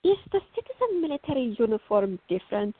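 A woman's voice: fairly high pitch, sing-song, fast, breathy edge, dry recording. An actress playing a fiery, outspoken young Greek woman artist in a radio play, on a thin recording. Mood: friendly, curious. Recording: thin, phone-like audio.

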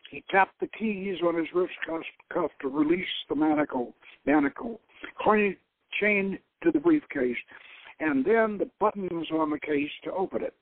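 A man giving a measured dramatic reading of an adventure novel as host, now and then stumbling over a word. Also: on the high side, lively intonation, no room sound. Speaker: show host reading his own novel. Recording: tinny, narrow-band radio-style sound.

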